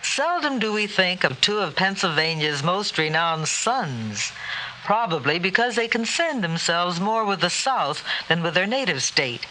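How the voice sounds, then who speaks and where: A man reading scripted radio narration in a measured, formal storytelling manner. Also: high voice, sing-song, pushed and bright. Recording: faintly noisy old radio recording.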